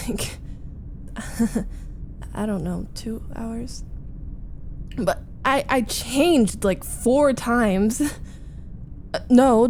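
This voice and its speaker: A woman voicing a flustered, needy young roommate in a chatty, halting, defensive roleplay audio, confiding as she vents. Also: a little high, a little mellow, a little hiss or hum. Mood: sheepish, defensive. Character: flustered, needy young female roommate venting about a date. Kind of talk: roleplay ASMR audio acting.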